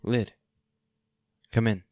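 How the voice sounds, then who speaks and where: A man playing a protective, defiant hunter boyfriend in an intimate roleplay audio drama, speaking unhurriedly with sighs and pauses. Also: darkish tone, no room sound, studio quality. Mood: fond, wry. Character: protective, defiant boyfriend, a monster hunter, tender but ruthless.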